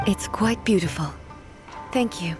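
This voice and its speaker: A woman's voice: medium pitch, lively intonation, measured pace, music in the background, lightly acted. A woman playing a dignified, reflective immortal ruler in a video game, speaking formally and with composure. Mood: gentle, composed. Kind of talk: video game voice acting, formal measured dialogue.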